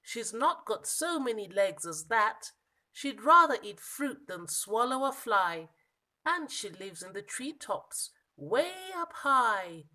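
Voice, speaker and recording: A woman voicing a small, lost young monkey from a children's storybook, plaintive and exasperated, with emphatic, drawn-out protests. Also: a little high, sing-song, bright, forceful tone, exaggerated voice. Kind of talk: children's storybook character reading.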